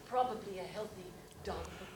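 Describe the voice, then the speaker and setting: A woman's voice: medium pitch, brisk, a little mellow, close to an everyday voice. A woman performing a comic monologue as a prim elderly widow with genteel, mannered speech and frequent laughter. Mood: prim, giggly. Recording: roomy, distant mic with background noise.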